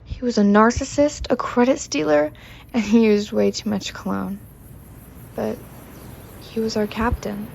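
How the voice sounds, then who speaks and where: A woman's voice: a little high, fairly even intonation, unhurried, creaky, long pauses, darkish tone, husky, exaggerated voice. A woman playing a tough, blunt ship's mechanic with a sardonic manner in a sci-fi comedy audio drama. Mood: grudging grief. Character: gruff, sardonic female ship mechanic, tough and capable.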